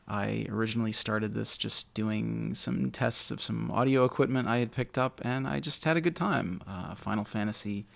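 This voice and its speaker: A man with a naturally low voice, casually commentating a video game he loves, a little mumbly and self-deprecating. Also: creaky. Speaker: let's play host.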